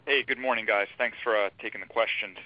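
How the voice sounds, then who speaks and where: A man's voice: on the low side, fairly even intonation, fast, no echo. A man asking questions as a financial analyst, casual and rambling, speaking conversationally over a phone line. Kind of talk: informal, conversational questioning on an earnings-style call. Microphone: telephone. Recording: dull, dry phone line.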